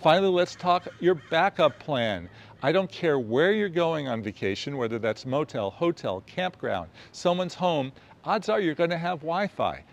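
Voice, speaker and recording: A man's voice: on the high side, sing-song, normal speed, highs cut off. Emphatic American man in his sixties. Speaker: host of a travel photography video show. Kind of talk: presenting gear tips to camera. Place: outdoors on a quiet residential street.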